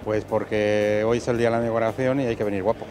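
A man's voice: fairly low pitch, flat delivery, fast, a little mellow, faint music behind. A middle-aged man in costume chatting casually in an interview in an exhibition hall. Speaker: costumed fair attendee. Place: large indoor exhibition hall. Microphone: reporter's handheld mic.

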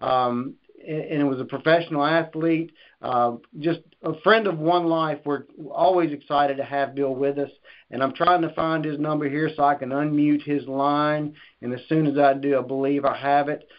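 A man hosting a business conference call, giving announcements in a halting, informal way over a phone line. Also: fairly high pitch, steady tone.

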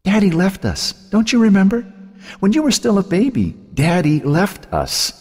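A venomous ghost of a woman, acted out by a male narrator, taunting and relentless. The voice is lifted high and swings in pitch, with a slightly husky edge, in audiobook style. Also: wildly swooping pitch, moderate pace, darkish tone, long reverb tail, exaggerated voice. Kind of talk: audiobook character voice.

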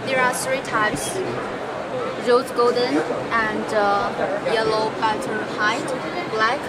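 A young woman with a Chinese accent answers product questions in short, halting non-native English as a booth representative. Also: a little high, level tone, a loud crowd. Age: young adult. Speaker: company representative at a trade show booth. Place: busy indoor exhibition booth. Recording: noisy, crowded trade show hall.

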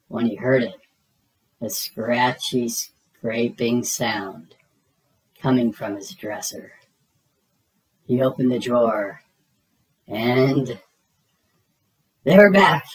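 A man reading a children's story aloud as narrator, dramatically, with suspenseful drawn-out emphasis. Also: mid-range voice, rough recording.

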